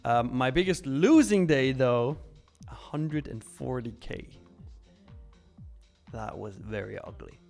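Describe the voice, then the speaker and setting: Male livestream host from Switzerland, mid-pitched, informal and frank, chatting to viewers over faint background music. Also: lively intonation, slow, gravelly, darkish tone. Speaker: live trading stream host. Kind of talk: casual livestream chat answering viewers and commenting on trades.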